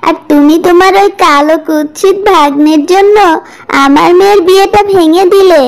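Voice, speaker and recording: A woman's voice: very high voice, normal speed, creaky. A woman voicing a scheming, blunt middle-aged aunt who complains, in a fairy-tale cartoon. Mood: angry, accusing. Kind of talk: animated moral-story cartoon dubbing.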